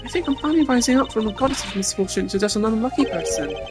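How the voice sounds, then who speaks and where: Female actor for unlucky, polite video game guard, fast-talking and self-deprecating, raised pitch, over strong music. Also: animated, natural voice. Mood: grateful, chatty. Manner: chatty, deferential. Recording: loud music under the voice.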